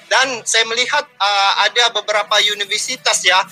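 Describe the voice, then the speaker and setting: A man's voice: sits high, steady tone, moderate pace, sharp tone, soft background music, low-quality recording. A man with a Malaysian Malay accent hosting a reaction video, chatting casually with frequent fillers. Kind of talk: casual commentary.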